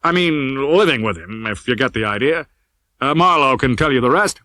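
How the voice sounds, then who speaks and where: A man's voice: medium pitch, expressive, normal speed, pushed and bright, no echo. A man voicing a brash, wry, tough-talking male police detective in an audiobook crime story. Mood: pleased, explanatory.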